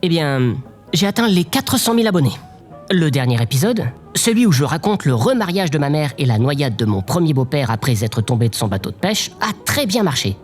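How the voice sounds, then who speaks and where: Actress as low-voiced, awkward, servile assistant. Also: female voice, very animated, darkish tone, soft background music. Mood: matter-of-fact. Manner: deferential, halting, socially awkward. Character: awkward, morbid, servile presidential assistant Babylone, oddly intense. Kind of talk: comedic audio drama acting.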